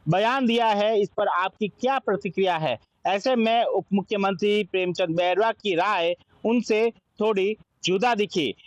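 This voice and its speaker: A man's voice: high voice, no room sound, dull audio. A man with an Indian accent reading scripted news narration as a reporter.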